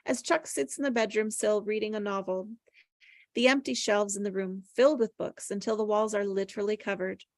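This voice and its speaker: A woman's voice: mid-range voice, steady tone, brisk, dry recording, studio quality. A woman reading screenplay stage directions as plain, descriptive narration at a table read.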